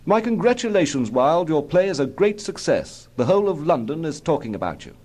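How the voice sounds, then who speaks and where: Man putting on a pompous, high-pitched Victorian prince. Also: very animated, fairly quick, darkish tone. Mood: hearty praise. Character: hearty, gushing Prince of Wales in Victorian drawing room.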